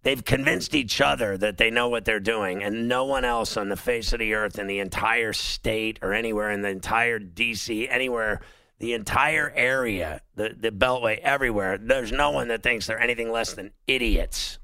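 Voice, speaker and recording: A man's voice: on the low side, moderate pace, sharp tone, no echo. A man hosting a sports talk radio show, blunt and opinionated, rambling through fillers as he takes calls.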